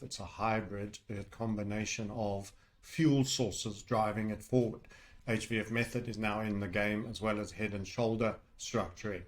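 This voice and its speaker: A man talking through technical analysis off the cuff as a trading educator, rambling and heavy on jargon with colourful asides. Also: on the low side. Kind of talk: unscripted technical chart commentary.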